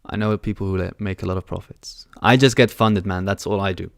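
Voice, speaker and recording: Low-voiced young man answering chat. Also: steady tone, a little fast, vocal fry, dry recording, clean studio sound. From born in London. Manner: casual, reads out chat questions and answers offhandedly. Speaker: trading livestream host. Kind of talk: casual live-stream Q&A. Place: small room indoors. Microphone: headset.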